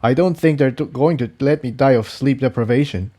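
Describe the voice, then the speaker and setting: A man's voice: mid-range voice, a little fast, dark tone, lightly acted. A man voicing an exhausted, haunted male unicorn pony, weary and strained, in a story reading. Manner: weary, strained, sometimes sardonic.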